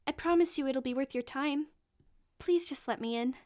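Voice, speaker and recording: A woman playing a gentle, earnest young friend who speaks softly and reassuringly in an audio drama. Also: high-pitched, normal speed, noisy background, natural voice. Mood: hesitant concern.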